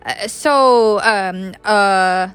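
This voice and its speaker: A woman's voice: medium pitch, drawn-out words, a bit bright, smooth, faint music behind. A woman storyteller voicing a bashful young man, hesitant and awkwardly casual with his old friend. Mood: flustered.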